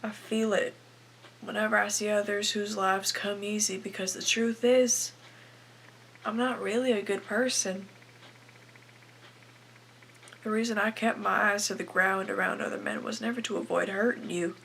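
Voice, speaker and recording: A woman's voice: mid-range voice, fairly even intonation, moderate pace, gravelly, a little hiss or hum, low-quality recording. A teenage girl playing a desperate, bitter young farm wife in a confessional dramatic monologue. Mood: quiet confessing. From Canada. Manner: confessional, anguished, swinging from hushed to bursting. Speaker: aspiring actress performing a film monologue.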